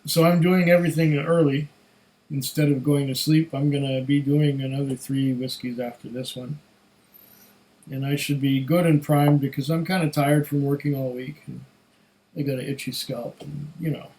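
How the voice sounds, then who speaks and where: A man with a fairly high voice and a touch of hoarseness talks through a casual whisky review on his own. He takes his time, musing aloud and savoring as he goes, often as if chatting to himself. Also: fairly even intonation, normal speed, darkish tone, poor audio. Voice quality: slightly hoarse. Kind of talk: casual solo tasting review.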